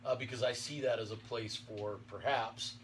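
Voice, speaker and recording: A man in his fifties hosting a casual podcast interview, chatty and quick to laugh. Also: mid-range voice, steady tone. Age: 50s. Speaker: podcast host. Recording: distant mic with faint room noise.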